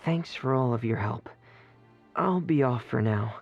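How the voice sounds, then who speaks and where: An actor voicing a shy, gentle, dreamy teenage boy in a video game, speaking softly and earnestly with some hesitation. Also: mid-range voice, unhurried, gravelly, dull audio, soft background music. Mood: polite. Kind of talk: video game character acting.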